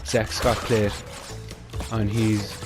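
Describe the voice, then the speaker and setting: A young Irish man chatting quickly and rambling while he plays through a platform game. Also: on the low side, flat delivery. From Ireland. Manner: fast, rambling, chatty with Irish phrasing like "class". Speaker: gaming channel host. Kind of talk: casual gameplay commentary. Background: game music.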